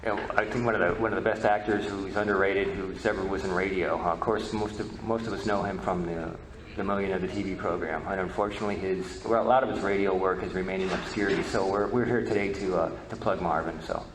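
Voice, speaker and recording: A man giving an informal history lecture as presenter, halting and often repeating words. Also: sits low, steady tone, a crowd in the background. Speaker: presenter, media history researcher. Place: presentation room at a convention. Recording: echoey room with background noise.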